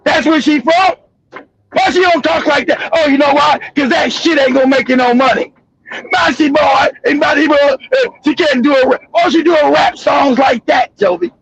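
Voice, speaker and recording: A man hosting a show, delivering a loud, profane, mocking commentary rant. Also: very high-pitched, normal speed, vocal fry, no room sound, poor audio. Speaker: show host.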